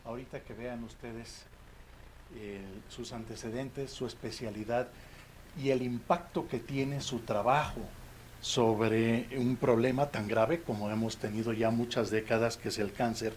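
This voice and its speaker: A man speaks as the host of an academic event, formally introducing a guest. His pitch is mid-range, and there is some noise in the auditorium recording around him. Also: steady tone, unhurried. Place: university auditorium.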